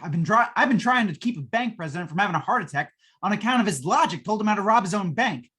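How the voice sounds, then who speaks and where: A woman's voice: medium pitch, expressive, fast talker, fairly bright tone, breathy edge. A woman playing a weary, exasperated male maintenance chief in an old-time radio drama. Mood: weary, irritable.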